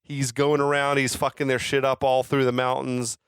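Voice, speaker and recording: A man's voice: mid-range voice, flat delivery, normal speed, clear, resonant tone, no echo, clean studio sound. A man co-hosting a podcast, chatting casually about film and telling stories in profane, slangy language. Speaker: podcast co-host.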